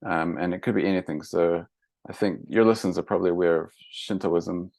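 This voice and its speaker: A man with a New Zealand accent, guest on a travel podcast, explaining at length in a relaxed way with many fillers and restarts. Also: deep voice, fairly quick, gravelly, no room sound. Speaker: guest, mountain monk trainer. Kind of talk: casual interview.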